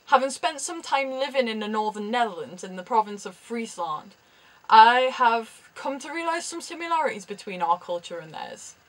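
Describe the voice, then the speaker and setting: Young woman, Geordie accent, student vlogger, chatty explainer, dry wit, fairly high voice. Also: lively intonation, moderate pace, rough recording. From Newcastle, England. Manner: chatty, with dry humorous asides. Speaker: university student presenting research. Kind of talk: explanatory vlog narration.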